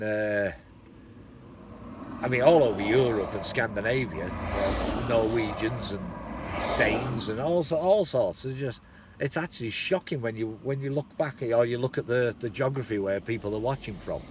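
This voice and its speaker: A man's voice: medium pitch, normal speed, background noise. A man with a North Welsh accent tinged with Shropshire, chatting casually and rambling on a live stream outdoors, swearing and chuckling as he goes. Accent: North Welsh with a hint of Shropshire. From North Wales.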